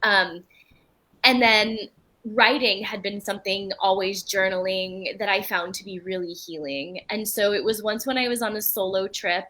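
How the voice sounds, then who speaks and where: Bright-voiced Belgian woman, earnest and candid. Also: mid-range voice, normal speed. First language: French. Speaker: writer and retreat leader. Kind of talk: interview answers.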